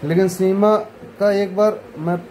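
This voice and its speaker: A man giving a scornful, heated commentary, now muttering and now bursting out loudly. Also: high voice, steady tone, unhurried, slight background noise. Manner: scornful and heated, rising to loud outbursts. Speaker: online commentator. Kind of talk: ranting video commentary.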